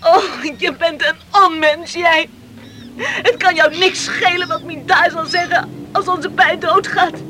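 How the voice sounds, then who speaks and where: A woman's voice: very high-pitched, steady tone, takes it slowly, sharp tone, exaggerated voice. An actress playing a distraught, reproachful young island woman in a Dutch radio play, tearful and pleading. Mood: upset, accusing. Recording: old radio recording with faint noise.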